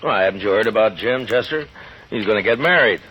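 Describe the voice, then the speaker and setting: An actor playing a gruff, fair-minded US marshal in a radio western, world-weary and authoritative, with an American accent. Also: male voice, on the low side, lively intonation, fairly quick, bright, forceful tone. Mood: dry, measured. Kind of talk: 1950s radio western acting. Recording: dull, noisy old radio recording.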